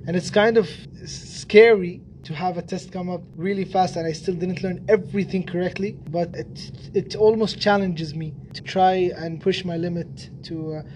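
A teenage high school student whose first language is Arabic, talking hesitantly with fillers and restarted words in a casual podcast interview. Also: male voice, steady tone, normal speed, hardly any pauses, darkish tone, slight background noise. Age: teens. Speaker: student guest.

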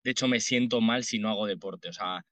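A man's voice: lowish voice, brisk, a bit bright, dry recording. A man in his late thirties with a Madrid Spanish accent, talking informally and conversationally as an interview guest. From Madrid, Spain. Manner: informal, colloquial. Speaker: podcast guest, entrepreneur.

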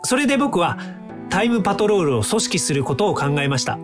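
An actor in an audio drama playing an earnest, polite young time-traveling inventor who explains things courteously. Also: male voice, sits high, sing-song, brisk, music in the background. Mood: calm, explanatory.

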